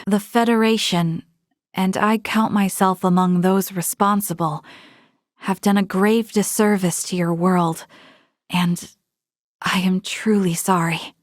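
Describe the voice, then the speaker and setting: Earnest young space officer played by an actress, close and dry. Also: female voice, mid-range voice, no echo, natural voice. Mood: earnest, concerned. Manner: composed, articulate, conscientious. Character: earnest, dutiful young female space-federation military officer. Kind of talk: video game voice acting.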